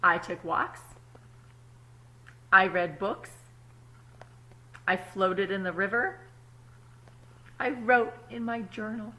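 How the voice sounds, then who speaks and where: A woman, apparently a teacher, reads a picture book aloud to children expressively, pausing to explain words simply and check in with her young listeners. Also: medium pitch, fairly even intonation, bright, forceful tone, rough recording. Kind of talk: children's storybook read-aloud with asides.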